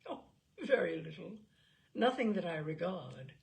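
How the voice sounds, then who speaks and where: Period audiobook role: woman narrator as polite young gentlewoman, composed, quietly firm, creaky. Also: on the low side, very animated, unhurried, full character voice. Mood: lightly dismissive.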